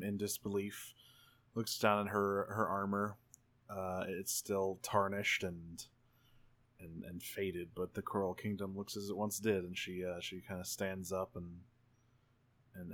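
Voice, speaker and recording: A man's voice: sits low, fairly bright tone. A man playing a tabletop roleplaying game, casually improvising what his character does in an informal, hedging way. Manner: informal, hedging, self-repeating.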